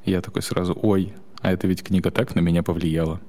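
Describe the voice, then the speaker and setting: A young man with a fairly low voice chats casually on a podcast. He talks haltingly, restarting his sentences and letting short laughs slip between thoughts. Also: level tone, dark tone. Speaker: podcast co-host.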